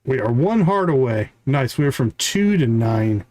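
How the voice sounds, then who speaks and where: A man's voice: medium pitch, sing-song, normal speed, a little mellow, slight background noise. A man live streaming a video game, giving casual commentary as he thinks aloud and chats to viewers.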